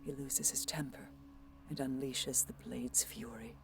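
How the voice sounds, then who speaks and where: An actress playing a wary, prophetic alien seer in a science fiction audio drama, solemn, measured and breathy. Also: female voice, rumbling low, flat delivery, over loud music, exaggerated voice.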